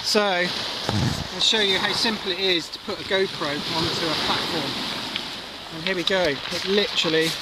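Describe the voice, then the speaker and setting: A man's voice: high voice, steady tone, normal speed. A middle-aged man giving a casual hands-on gear demo on a pebble beach, explaining step by step with plenty of fillers. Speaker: kayak angler reviewing gear. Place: outdoors on a pebble beach by the sea. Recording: distant mic with loud wind and surf.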